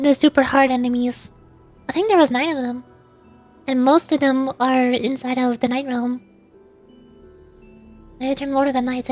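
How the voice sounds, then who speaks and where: Woman, high voice, game streamer, casual chatty delivery, reads aloud haltingly, music playing behind. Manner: chatty, stumbles over hard names, reading chat aloud. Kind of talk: casual livestream chat while gaming.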